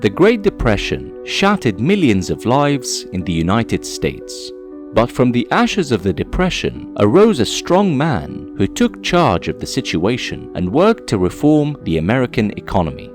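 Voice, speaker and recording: A man's voice: mid-range voice, huge pitch swings, measured pace, music in the background. A man reading scripted documentary narration in a dramatic, emphatic storytelling manner.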